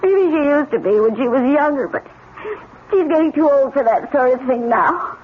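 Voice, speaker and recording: A woman's voice: high voice, lively intonation, moderate pace. A woman acting in a vintage radio drama as a strained forty-one-year-old wife and mother, downcast, fretful and hesitant. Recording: dull, noisy old radio broadcast.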